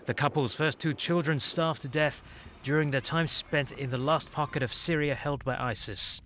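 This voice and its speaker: A man's voice: on the high side, steady tone, moderate pace, pushed and bright, slight background noise. A man reading scripted news reports as an anchor.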